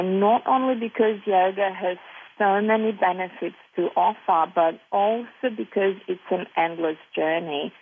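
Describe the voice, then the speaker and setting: A woman from Serbia, a yoga teacher speaking as a panel guest in a measured way with frequent "um" fillers, heard over a tinny phone line. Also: lowish voice, gravelly, husky.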